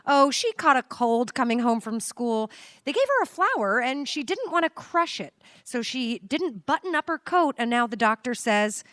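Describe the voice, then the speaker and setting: A cheerful young mother in a radio play. Her high voice moves with great animation, attentive and dry-sounding. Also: animated, moderate pace, a bit bright, no echo. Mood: soothing, concerned. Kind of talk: old-time radio drama acting.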